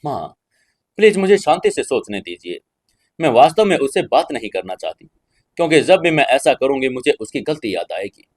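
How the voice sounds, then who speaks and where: A man's voice: medium pitch, very animated, normal speed, dry recording. Man voicing a wounded, stubborn young bride-to-be. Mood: hurt, subdued. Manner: soft-spoken, resentful. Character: hurt, angry young bride-to-be, stubborn and proud.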